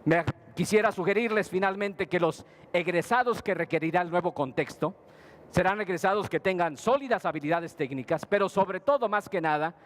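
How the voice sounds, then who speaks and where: A man delivering a formal conference speech in a steady, measured way, with a few brief hesitations. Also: high voice. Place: conference hall panel.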